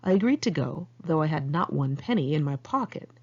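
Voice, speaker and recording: American woman reading her memoir, lowish husky voice, candid and sardonic, kinda dull home recording. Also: brisk. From United States, Los Angeles area. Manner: candid, conversational, expressive, sardonic. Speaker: author reading own memoir. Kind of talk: first-person memoir narration, read aloud in one take.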